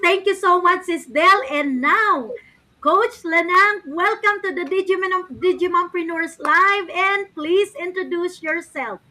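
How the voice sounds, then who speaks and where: Bright high-voiced host. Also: female voice, unhurried. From Philippines. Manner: upbeat, formal introductions. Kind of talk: hosting a live online interview.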